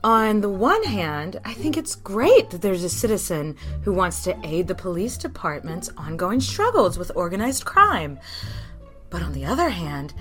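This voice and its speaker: A woman's voice: fairly low pitch, a bit bright. Woman acting a poised, lilting society lady. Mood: measured, concerned. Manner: poised, theatrical, genteel. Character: gracious, polished mayor's wife, diplomatic society lady. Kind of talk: acted talk show interview in audio drama.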